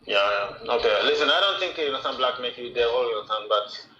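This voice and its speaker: Caller, male, 30s; defensive and worked up, sharp voice over a roomy line. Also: medium pitch, lively intonation, fairly quick, lo-fi sound. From France. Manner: agitated, defensive, filler-heavy. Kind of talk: heated call-in debate. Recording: roomy call audio, faint noise.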